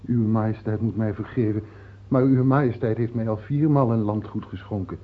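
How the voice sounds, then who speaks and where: A man playing a timid, deferential servant to a king in an old radio drama, with a husky, nervous voice. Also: lowish voice, normal speed, lightly acted. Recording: muffled, phone-like old radio recording.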